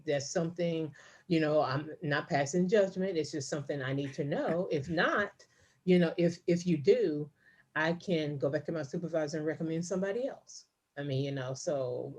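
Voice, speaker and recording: Low dark husky woman telling stories. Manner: storytelling, many fillers and restarts. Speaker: podcast co-host. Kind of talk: casual chat.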